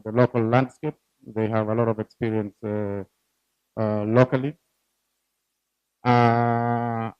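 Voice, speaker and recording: A man, a program team leader, giving a formal presentation at a donor event, speaking haltingly with many fillers and a volume that drifts up and down. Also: medium pitch, a little mellow, clean tone, no room sound, muffled recording.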